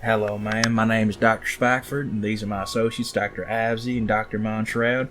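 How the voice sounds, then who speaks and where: A man's voice: on the low side, level tone, brisk, noisy background, natural voice. A man playing a casual, level-headed leader of a ghost-catching crew in an audio drama. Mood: easygoing, professional.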